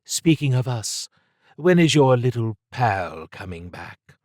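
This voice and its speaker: A man voicing a worldly, lazily amused woman thief who speaks in formal, measured phrases. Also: mid-range voice, big pitch swings, a little mellow, no echo, clean studio sound, voice pushed up. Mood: teasing.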